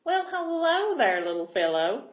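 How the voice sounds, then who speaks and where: A woman's voice: high-pitched, very animated, a little slow, roomy sound, lo-fi sound, voice pushed up. A woman voicing a cheerful, eager little male goldfish in a storybook, exclaiming with delight. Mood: friendly.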